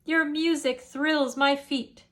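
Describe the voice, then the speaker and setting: A woman voicing an excitable, scheming household cook who exclaims in rhyme in a storybook. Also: high-pitched, takes it slowly, pitched above the performer's own voice. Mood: delighted.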